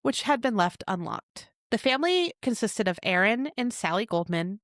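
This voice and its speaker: A woman co-hosting a podcast, telling a crime case in a chatty way with quick asides. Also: mid-range voice, lively intonation, fairly bright tone, no echo, clean studio sound. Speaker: podcast co-host. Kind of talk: casual true crime chat.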